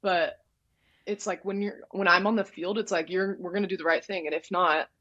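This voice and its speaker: A young woman from Texas, a college goalkeeper telling stories in a loud, direct, animated way during a casual interview. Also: fairly low pitch, brisk, highs cut off. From Dallas, Texas. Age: young adult. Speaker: soccer goalkeeper, guest.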